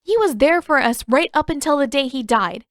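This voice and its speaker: Female reader as headstrong teen heroine for fantasy storybook: high-pitched, exasperated, emphatic, quick to argue, dry sound. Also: expressive, dry recording. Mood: firm, emotional. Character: fiery, indignant, defiant teenage girl waterbender. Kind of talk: audiobook-style fan fiction character reading.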